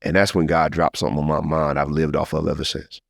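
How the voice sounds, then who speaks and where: Male podcast host, American, very low voice, close dry sound. Heartfelt storyteller with a preacherly, encouraging streak. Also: fast, gravelly, dry recording. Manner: animated storyteller, slips into encouraging preacher-like address. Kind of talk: casual, heartfelt testimony and conversation.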